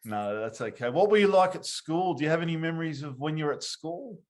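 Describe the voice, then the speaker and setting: A man's voice: fairly high pitch, expressive, a little fast, a little mellow. A man interviewing someone, putting casual questions.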